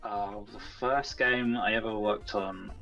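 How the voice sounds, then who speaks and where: Male game developer guest, low creaky voice, conversational. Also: fairly even intonation, unhurried, bright, forceful tone, muffled recording, faint music behind. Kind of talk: casual interview answers about own work.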